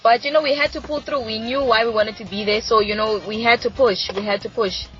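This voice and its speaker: A woman's voice: fairly high pitch, fairly even intonation, a little fast, fairly bright tone. A young woman in her twenties from Mozambique, a native Shangana speaker, talking eagerly and casually in an interview. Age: 20s. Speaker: reality show contestant, guest. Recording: dull lo-fi audio with faint music.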